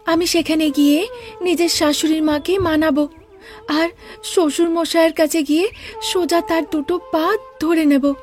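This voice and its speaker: A woman's voice: very high-pitched, fairly even intonation, normal speed, a little mellow, soft background music, voice pushed up. A woman voicing a naive, stubborn young village bride in a cartoon, earnest, emphatic and pleading. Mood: tearful resolve.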